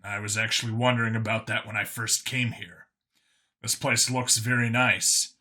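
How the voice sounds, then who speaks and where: Man playing a wry, bright-voiced human cop. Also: lowish voice, little pitch movement, moderate pace. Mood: amused. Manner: informal, questioning, wry. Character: wry, wary human male cop among aliens. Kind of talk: audiobook character acting.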